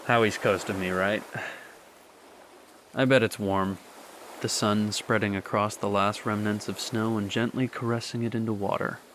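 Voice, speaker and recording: An actor playing a young man speaking softly and wistfully to a lost love in a radio drama, with quiet laughs. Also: on the low side, fairly even intonation, moderate pace, slight background noise, close to an everyday voice. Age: young adult.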